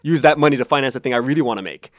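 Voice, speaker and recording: A man's voice: medium pitch, rapid-fire, dry recording, studio quality. A man speaking as an interview guest, telling personal stories conversationally and casually, acting out quotes as he goes. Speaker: interview guest, podcast creator and writer.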